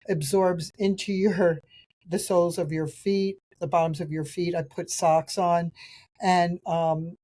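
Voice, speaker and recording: A middle-aged woman with a raspy voice from a cold, hosting a podcast outdoors in chatty, rambling talk. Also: on the low side, warm tone. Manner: chatty, rambling, with restarts and fillers. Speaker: podcast host and nutrition practitioner. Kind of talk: casual solo podcast talk reviewing products.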